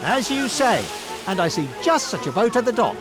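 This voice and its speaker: A man playing a small, clever, confident Gaul hero in a cartoon game, brisk and assured. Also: high voice, big pitch swings, music in the background. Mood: reassuring. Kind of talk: video game cartoon acting.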